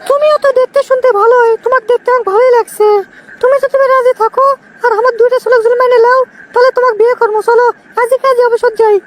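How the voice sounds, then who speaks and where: A performer voicing a brisk, bossy village mother in a comic cartoon skit, with a squeaky voice and a Bogura accent from northern Bangladesh. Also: little pitch movement. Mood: coaxing. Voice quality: very high, squeaky pitched-up voice.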